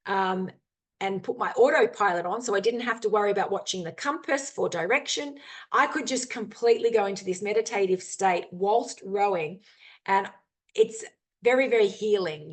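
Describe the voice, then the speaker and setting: A woman adventurer giving an earnest, candid talk over a video call, peppered with ums and you-knows. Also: medium pitch. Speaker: guest speaker, adventurer. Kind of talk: informal talk with screen share, then Q&A.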